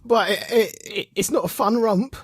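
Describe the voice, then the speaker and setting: A man's voice: high voice, moderate pace, husky, no echo, studio quality. A man co-hosting a podcast, chatting casually as he reviews films. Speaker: podcast co-host. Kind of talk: casual chat, film review.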